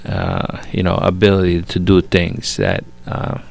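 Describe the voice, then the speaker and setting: A middle-aged man from Italy speaks slowly in a low, gravelly voice. He gives a personal faith testimony, stopping often and restarting his sentences. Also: sing-song, a little mellow. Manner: halting, many fillers and restarts. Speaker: guest father. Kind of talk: faith testimony, casual talk.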